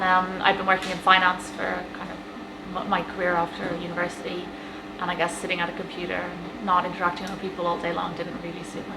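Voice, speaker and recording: Irish woman from galway, chatty, lots of ums and likes, roomy café with noise behind. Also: lowish voice. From Ireland. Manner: chatty, lots of fillers and laughs. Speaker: café owner. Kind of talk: casual interview chat. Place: inside her small café. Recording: echoey café room with background noise.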